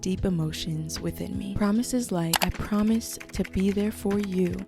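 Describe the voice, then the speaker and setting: A woman giving a soft, gentle, earnest voice-over narration for her vlog. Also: medium pitch, unhurried, gravelly, music in the background. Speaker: vlogger. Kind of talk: scripted reflective voice-over narration.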